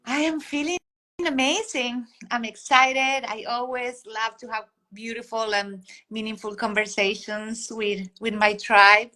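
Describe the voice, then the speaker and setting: Bright upbeat woman from Argentina. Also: fairly high pitch, fairly even intonation, a little slow. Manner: earnest and upbeat, with many fillers. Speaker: guest, life coach. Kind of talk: casual video interview.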